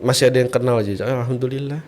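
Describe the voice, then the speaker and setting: A middle-aged man from Bali speaks as a guest on a religious podcast. His voice sits at an average pitch and he makes his points through little stories and rhetorical questions. There is some noise behind him. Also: steady tone, fast, a little mellow. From Bali, Indonesia. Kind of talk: conversational religious podcast talk.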